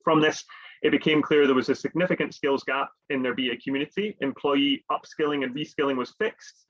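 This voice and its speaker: A man's voice: mid-range voice, fairly quick, fairly bright tone. Man hosting a webinar, lo-fi audio. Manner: conversational, with frequent fillers and repeated words. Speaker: webinar host, consultant. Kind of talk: presenting a business webinar.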